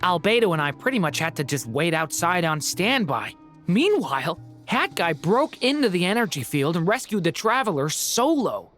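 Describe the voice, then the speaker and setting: A woman's voice: fairly low pitch, big pitch swings, moderate pace, fairly bright tone, soft background music. A woman voicing a frank, inquisitive young woman in an anime game, conversational and casual as she explains. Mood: curious, matter-of-fact.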